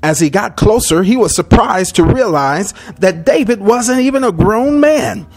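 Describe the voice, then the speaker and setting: A man tells a children's Bible story with dramatic delivery. His voice is high for a man. The intonation is lively and sweeps widely as he narrates. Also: a little slow.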